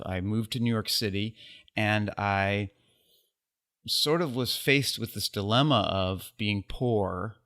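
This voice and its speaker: Low-voiced man, wry and reflective. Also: fairly bright tone. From United States. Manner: wry, self-deprecating humor; reflective, pauses with fillers. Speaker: guest photographer. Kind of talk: casual interview conversation.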